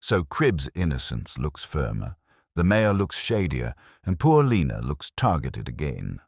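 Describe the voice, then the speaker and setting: An earnest police sergeant in a storybook mystery, voiced by a male narrator, questions things in a plain, deferential way. His voice is low and slightly husky, with lively, puzzled inflection. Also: a little slow, no room sound, studio quality, heavily acted.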